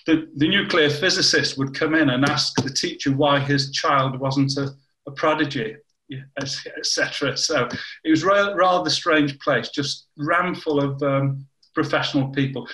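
An older man from the north of England with a northern English accent tells stories at an unhurried, conversational pace. His voice sits a little high for a man and keeps stopping and restarting mid-sentence. The room has a noticeable echo. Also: pushed and bright. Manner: anecdotal, self-correcting with frequent restarts. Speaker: interviewee, former scientist. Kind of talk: conversational interview.

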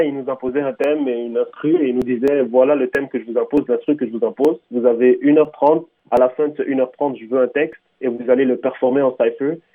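Fast-talking rapper over a phone line. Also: male voice, medium pitch. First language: Tunisian Arabic. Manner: rambling, full of restarts and fillers. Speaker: rapper, interview guest. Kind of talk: radio interview answers.

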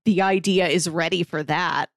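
A woman's voice: on the low side, steady tone, normal speed, fairly bright tone, no echo, studio quality. A woman co-hosting a podcast, talking in a casual conversational style. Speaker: podcast co-host.

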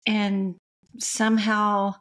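A middle-aged woman from West Tennessee with a soft voice, telling the story of her career as an interview guest, with pauses, fillers and restarts. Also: medium pitch, flat delivery. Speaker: interview guest, music industry coach. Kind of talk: reflective conversational storytelling.

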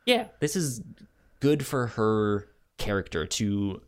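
A man co-hosting a casual review podcast, halting and stumbling over the starts of words. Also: lowish voice, very animated, clean tone. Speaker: podcast co-host reviewing anime.

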